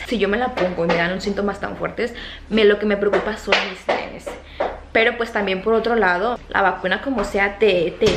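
A woman in her thirties with a lightly Mexican accent chats to a camera held close in her kitchen, circling back with fillers and repeated words. Her voice sits at a middle pitch. The room adds a noticeable echo and a faint hum underneath. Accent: Mexican Spanish, softened toward neutral. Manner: chatty, animated hand gestures, many fillers and repeated words. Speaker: vlogger. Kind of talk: casual talk to camera. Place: home kitchen, indoors. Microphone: camera close to face.

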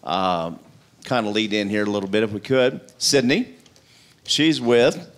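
A man's voice: on the low side, lively intonation, unhurried. A middle-aged man, a regular on the show, talking up his business with salesy enthusiasm on a studio talk set. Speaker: store owner, show regular. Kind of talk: casual promotional chat. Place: diner-style TV studio set with audience. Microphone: handheld mic.

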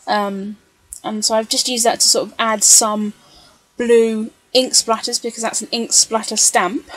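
A woman's voice: on the high side, flat delivery, a little slow, a bit bright, no room sound, poor audio. A woman giving a casual, chatty, rambling voice-over for a craft video. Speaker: scrapbooker narrating craft video.

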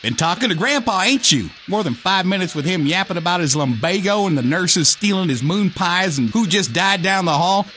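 A man's voice: high voice, animated, normal speed, hardly any pauses, bright, forceful tone, a little hiss or hum. A man playing a brash, wisecracking redneck with an in a comic radio play. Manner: folksy, wisecracking, exasperated. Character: brash, cranky redneck everyman, last human alive.

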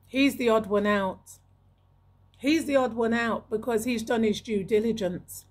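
Casting: middle-aged woman host, raspy, fairly high voice, emphatic advisory delivery into a close mic. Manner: emphatic, repeats phrases for emphasis. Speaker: video host giving advice. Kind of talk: advisory talk to camera. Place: small indoor room. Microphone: large studio microphone with pop filter, close.

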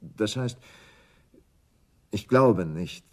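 A male actor playing a shaken, grieving widower in a German radio crime play, speaking haltingly. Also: lowish voice, expressive, exaggerated voice.

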